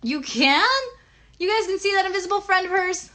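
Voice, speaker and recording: A woman co-hosting a children's puppet show, chatting casually in an encouraging, gently instructive way. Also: very high-pitched, brisk. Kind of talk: casual interactive chat with children.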